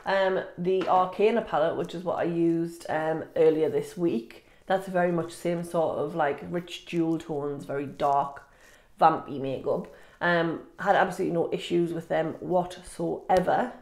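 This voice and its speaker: A woman's voice: on the low side, steady tone, a little slow, darkish tone. A woman chatting casually as a beauty vlogger, informal and self-deprecating, sounding sniffly and congested. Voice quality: congested, nasal. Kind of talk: casual chat to camera, makeup review. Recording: echoey room.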